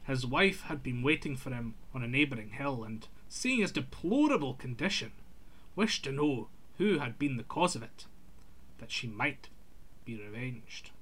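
A man's voice: medium pitch, very animated, moderate pace, fairly bright tone, husky. A man reading a scripted folk tale aloud in an old-fashioned literary storytelling manner.

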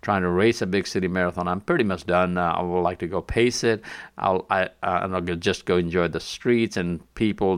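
A middle-aged man from Nepal with a Nepali accent, talking casually on his own podcast, rambling with restarts and soft chuckles. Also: deep voice, clean tone, dry recording, studio quality. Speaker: podcast host and marathon pacer. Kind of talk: casual solo podcast monologue.

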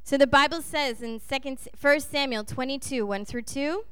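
A woman's voice: high-pitched, normal speed, fairly bright tone, no room sound. A woman from Texas preaching in short phrases, with a conversational, playful storytelling manner. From Texas, United States. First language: English. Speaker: guest preacher. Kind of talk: sermon delivered in short phrases for an interpreter.

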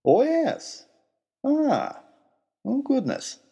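A man performs a dotty male professor from an audio adventure story, pitched very high. He is vague and easily flustered, innocently stubborn, and his voice swoops about with a slightly husky edge. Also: wildly swooping pitch, extremely slow, mellow, full character voice. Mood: sheepish, flustered. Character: absent-minded, brilliant old male professor, dotty and sheepish.

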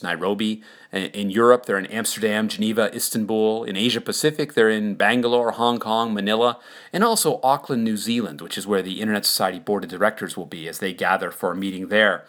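Low-voiced man, casual podcast monologue. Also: a bit bright. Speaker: podcast host. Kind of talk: casual informal monologue about an upcoming event.

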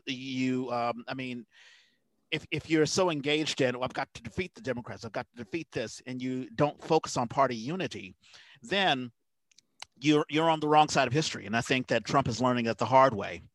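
A man's voice: medium pitch, moderate pace, a bit bright, dry recording, poor audio. Man hosting with dry close sound. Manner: earnest, repeats words when starting. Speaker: podcast host. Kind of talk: casual conversation.

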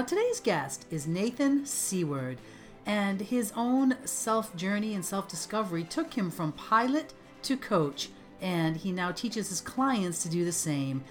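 A woman hosting a podcast interview, upbeat and enthusiastic. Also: medium pitch. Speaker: podcast host.

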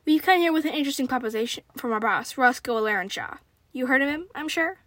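A smooth-talking young ruffian leads a gang in a western storybook, as a woman reads him. He is light, theatrical and falsely courteous, in a fairly high voice with dry sound. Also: expressive, fast talker, a bit bright, dry recording. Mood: mock-polite.

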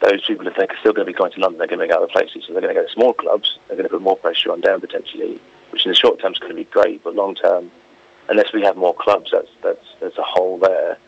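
A man's voice: low-pitched, level tone, fast, vocal fry, husky. A man being interviewed on the radio, talking informally and giving his opinions in a rambling way peppered with filler phrases. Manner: informal, opinionated, rambling with frequent "you know" and "like". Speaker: interview guest. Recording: dull, lo-fi phone-like radio link.

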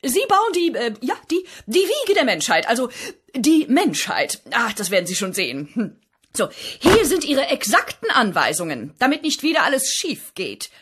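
A woman playing the brisk, commanding boss of a heavenly agency in a comic radio play. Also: on the high side, wildly swooping pitch, a bit bright, husky, heavily acted. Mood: brisk, impatient. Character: bossy female boss of a heavenly design agency.

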